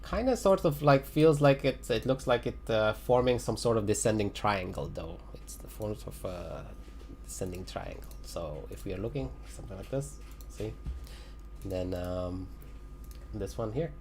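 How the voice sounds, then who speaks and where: A man's voice: fairly low pitch, moderate pace, a little mellow, a little hiss or hum. A man giving casual livestream commentary on trading charts, hesitant and full of fillers, often repeating himself. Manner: hesitant, many fillers, repeats phrases, chuckles. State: voice getting raspy after a long stream. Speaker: crypto chart analyst on a livestream.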